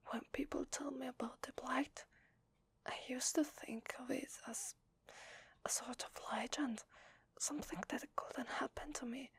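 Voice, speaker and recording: Weary female vampire, acted by a woman, Italian accent, hesitant and confiding; close, dry ASMR whisper with some gravelly fry. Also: fairly high pitch, no echo, full character voice. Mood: somber. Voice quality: breathy whisper. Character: weary, desperate, apologetic young-sounding female vampire.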